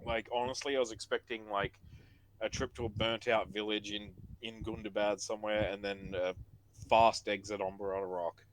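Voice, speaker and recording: A man's voice: fairly low pitch, little pitch movement, normal speed, a little hiss or hum, rough recording. A man who plays in a tabletop game, chatting animatedly about his character with laughs and lots of fillers. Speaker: player. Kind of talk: casual post-session chat.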